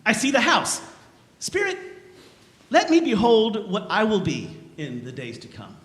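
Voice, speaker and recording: A man's voice: sits high, very animated, a little slow, full character voice. A man playing a frightened, repentant old miser, pleading theatrically in a dramatic stage reading. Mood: uneasy. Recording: echoey church hall, loud background hum.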